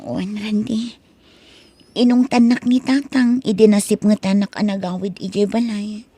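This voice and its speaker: A woman's voice: mid-range voice, fairly even intonation, measured pace, dark tone, husky, no room sound. A woman playing a meek, dutiful young college student and daughter in an Ilocano drama. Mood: downcast. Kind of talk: Ilocano radio-style drama acting.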